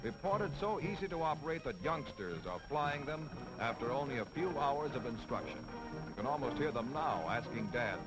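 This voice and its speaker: 1940s American newsreel man over loud music. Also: medium pitch, animated, moderate pace, low-quality recording. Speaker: newsreel narrator. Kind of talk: 1940s scripted military newsreel narration. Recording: old 1940s film soundtrack.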